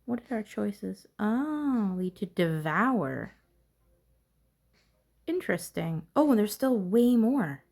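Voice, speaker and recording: A woman's voice: medium pitch, big pitch swings, slow pace, creaky, darkish tone. A woman giving casual gameplay commentary, thinking aloud to herself in a curious, musing way. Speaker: gamer narrating own playthrough.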